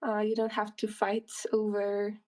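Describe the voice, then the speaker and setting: A woman's voice: medium pitch, completely flat delivery, normal speed, darkish tone, distant mic. A woman, a solo game developer, answering interview questions in a casual, thoughtful way with many fillers and restarts.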